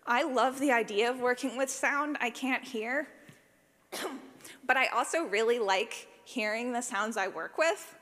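A woman giving an informal talk as a sound designer, animated and self-deprecating, with a jokey manner and frequent use of "like". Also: fairly high pitch, unhurried. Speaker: speaker, sound designer for audio fiction. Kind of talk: informal conference talk with audience questions. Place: large reverberant room, live talk. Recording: reverberant hall sound.

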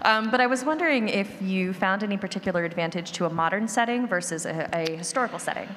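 A woman's voice: mid-range voice, fast, fairly bright tone. A woman audience member casually asking a question at a panel. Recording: echoey hall, faint background noise.

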